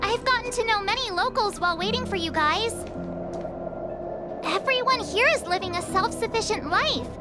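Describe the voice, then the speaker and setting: A woman's voice: very high voice, animated, over loud music. Bubbly, teasing young woman. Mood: chatty. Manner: bubbly and teasing, quick chatter. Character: cheerful, chatty young woman companion. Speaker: game character. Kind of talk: dubbed game cutscene acting.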